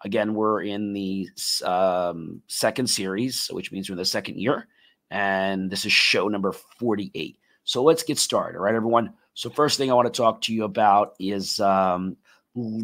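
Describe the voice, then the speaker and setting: A man hosting a live tech talk show, his voice a little raspy and tired, rambling with many fillers and restarts. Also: fairly low pitch, moderate pace, a bit bright.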